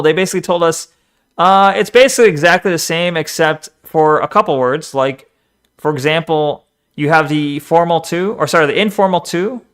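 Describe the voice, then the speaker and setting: Animated male streamer, slightly high voice. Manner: animated, swears, talks back to the screen. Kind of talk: casual live-stream chat and video reaction.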